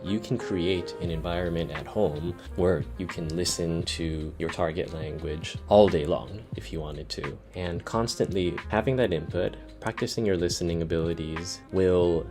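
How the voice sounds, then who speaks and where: A young man giving language learning tips in a relaxed, conversational way, often saying "you know". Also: low-pitched. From United States. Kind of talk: casual explainer to camera. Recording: soft guitar music underneath.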